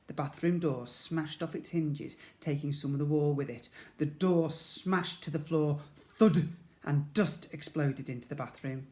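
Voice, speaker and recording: A man's voice: fairly high pitch, steady tone, unhurried, darkish tone. A man reading a storybook aloud expressively to young children, as a schoolteacher would.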